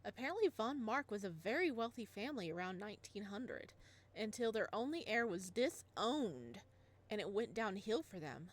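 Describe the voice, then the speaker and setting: A cheerful, teasing woman friend in a visual novel, read out by a woman, brisk and businesslike as she lays out what she has found. Her voice bounces lively up and down and lifts higher when she is in a hurry. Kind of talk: reading a visual novel aloud.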